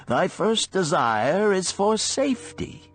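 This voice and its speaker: Guy doing a careful old babylon gold lender, preachy old-timey talk, slow and swoopy, bit of music. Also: high-pitched, big pitch swings, breathy edge, no echo, pitched above the performer's own voice, full character voice. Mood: approving, cautioning. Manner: solemn, deliberate, archaic sermonizing. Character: wise, cautious, older male gold lender of ancient Babylon. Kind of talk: storybook character reading.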